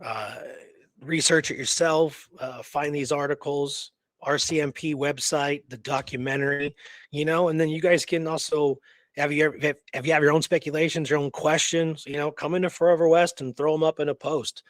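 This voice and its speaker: A man co-hosting a radio show, talking casually and excitedly in an informal, rambling way. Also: fairly high pitch, moderate pace, no echo. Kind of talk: casual enthusiastic discussion.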